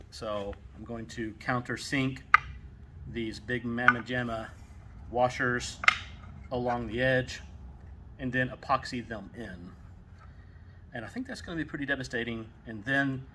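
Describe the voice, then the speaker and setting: A man's voice: medium pitch, bright, forceful tone, a little hiss or hum. A young man with a Texas accent chatting casually in a home workshop, joking and rambling as he explains a build. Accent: Texan. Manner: joking, rambling, self-deprecating. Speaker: maker building a weapon.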